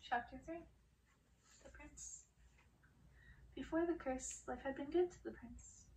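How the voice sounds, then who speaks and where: A woman with a fairly high, strongly creaky voice reads a dark fairy tale in ASMR style. She tells it dramatically, drawing out words and letting phrases trail toward a whisper. The mic sits somewhat distant, with faint noise behind her. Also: a little slow. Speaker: audiobook reader. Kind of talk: ASMR reading of a dark fairy-tale story, breathy and hushed in places.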